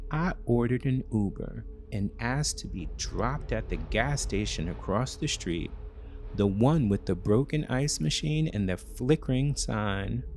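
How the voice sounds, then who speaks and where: A man delivers scripted first-person thriller narration at a slow pace. He breaks it into short, measured, dramatic phrases, with a mid-range pitch and faint ambience behind the voice. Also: animated, a little mellow, a little hiss or hum.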